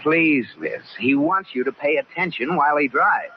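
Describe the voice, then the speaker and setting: Actor doing wry suburban husband, exasperated, dry sarcasm, animated intonation, 1940s radio sitcom, dull noisy recording. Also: male voice, on the high side, normal speed, natural voice.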